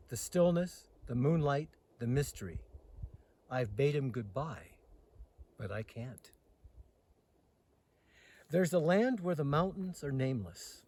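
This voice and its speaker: Husky man reciting to an audience. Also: mid-range voice, mellow. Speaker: host reading poetry aloud. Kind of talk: poetry recitation with casual introduction. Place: outdoors in the mountains.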